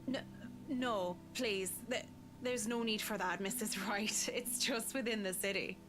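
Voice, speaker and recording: A woman's voice: mid-range voice, a little slow, music in the background, close to an everyday voice. A woman playing a polite, formal and deferential female acquaintance in a visual novel. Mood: polite, demurring.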